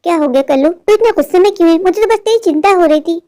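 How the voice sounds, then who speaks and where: A worried old cartoon father, voiced by a voice actor with a very high, quick voice. He is reproachful and self-pitying. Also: expressive, warm tone. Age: older.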